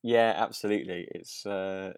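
Man, deep pitch, dry recording, casual podcast talk, meandering, thoughtful. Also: steady tone, normal speed, creaky, clean tone, no room sound. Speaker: podcast host. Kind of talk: casual chat reviewing a radio drama.

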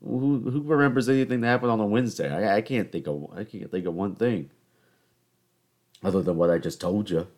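A man's voice: fairly low pitch, fairly quick, darkish tone. A young man in his twenties from the American South with a New York-tinged accent, hosting a solo podcast and telling rambling, joking stories about his week. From Charleston, South Carolina. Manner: rambling, joking, self-mocking. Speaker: podcast host. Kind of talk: casual solo podcast storytelling.

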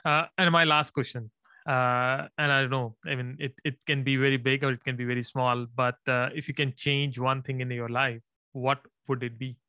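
Man, interview host, friendly, flat intonation, dry close sound. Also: medium pitch, normal speed, clear, resonant tone, no room sound. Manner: friendly, repeats words, non-native phrasing. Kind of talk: interview conversation.

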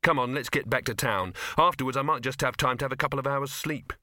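A man's voice: mid-range voice, quick pace, bright, forceful tone, dry recording, studio quality. A man voicing an indignant, stubborn, snappish young woman in an audiobook. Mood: irritated. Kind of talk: audiobook character voice.

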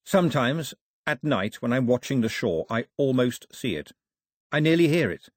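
A man reading aloud and voicing a brooding, wistful young island man who talks softly and dreamily. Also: medium pitch, expressive, normal speed, no echo, clean studio sound, close to an everyday voice.

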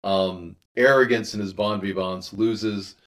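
Guy with a lowish voice giving thoughtful interview answers, kinda halting, talking thru his creative work. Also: fairly even intonation, fairly bright tone. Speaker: interviewed audio drama creator.

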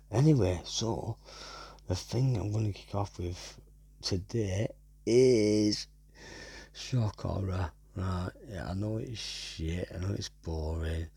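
A man's voice: deep voice, gravelly, mellow, husky, no echo. A man in his thirties from North West England with a Wigan accent, hosting a podcast as a sweary casual monologue full of "right?" tags. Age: 30s. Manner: swears a lot and keeps tagging "right?". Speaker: podcast host.

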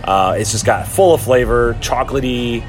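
A man's voice: medium pitch, normal speed, a little hiss or hum. A young adult man from Chicago co-hosting a podcast, chatting casually and telling stories with lots of fillers. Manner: rambling, storytelling with fillers. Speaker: podcast co-host. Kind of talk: casual chat.

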